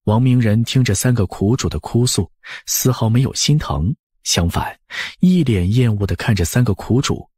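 A man narrates an audiobook in a lowish, husky voice. His delivery is scripted and dramatic, with lively swings in pitch. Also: moderate pace, few pauses, no echo, clean studio sound.

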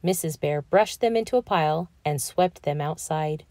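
A woman reading a children's picture book aloud in an expressive, deliberate storytelling manner. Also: sits low, no room sound, clean studio sound. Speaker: storybook reader.

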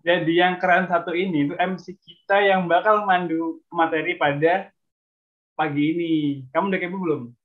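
A man's voice: high-pitched, fairly even intonation, normal speed. A young man working as an event MC, upbeat and playful, bantering with his co-host on a video call. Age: young adult. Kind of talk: lively MC banter. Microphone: video call webcam. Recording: tinny phone-like video call audio.

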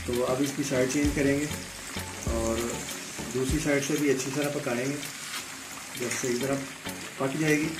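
A man with a Pakistani Urdu accent, hosting a home cooking tutorial and giving calm step-by-step instructions in a home kitchen. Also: medium pitch, rough recording. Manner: calm step-by-step instructions, often saying "theek hai". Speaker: cooking channel host. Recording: background music, oil sizzling. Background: music and frying sizzle.

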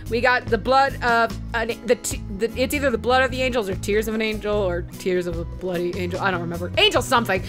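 A woman's voice: fairly high pitch, fast, few pauses, over music. A woman hosting a let's play, retelling the game's story in a casual, theatrical way with slangy swearing and mimicked character lines. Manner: giggly, theatrical, mimicking characters in quoted dialogue; profane, slangy. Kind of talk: animated casual storytelling recap of a video game.

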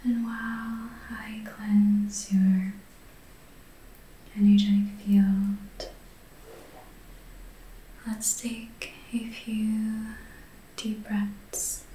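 A woman softly guiding an ASMR healing session in a breathy, whispery voice, gentle and soothing. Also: mid-range voice, little pitch movement, drawn-out words, pauses often, roomy sound, slight background noise, low-quality recording. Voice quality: breathy, whispery, soft-spoken. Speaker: ASMR Reiki practitioner and host. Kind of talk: soft-spoken ASMR guided healing session.

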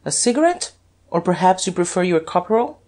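A reader voicing a haughty duke with lively, swooping pitch. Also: fairly quick, lightly acted. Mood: polite. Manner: haughty, icy, teasing. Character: haughty, mocking, cool aristocratic duke.

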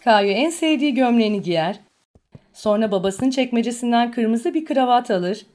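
A woman reads scripted narration for a children's cartoon. Her voice is high, and she tells the story simply for little listeners over faint music. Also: lively intonation, normal speed, a little mellow.

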